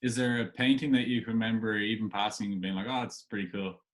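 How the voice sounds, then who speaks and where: A man's voice: lowish voice, flat delivery, quick pace. A man hosting a casual interview, asking questions in a hesitant, agreeable way. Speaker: podcast host, interviewer.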